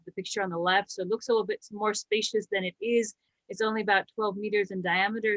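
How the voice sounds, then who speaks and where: A woman, presenting slides about her research in a brisk, explanatory way with a light joke here and there. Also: medium pitch, a bit bright, dry recording, poor audio. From Slovakia. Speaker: astrobiologist and habitat director.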